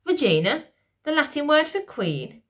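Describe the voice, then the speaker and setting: A woman's voice: high-pitched, sing-song, normal speed, a bit bright, voice pushed up. A smarmy, scheming male courtier from a storybook, read by a woman in her usual voice. He talks in a pompous, falsely soothing way, with a slightly raised tone. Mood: accusing. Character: smooth scheming male Lord Protector, older courtier.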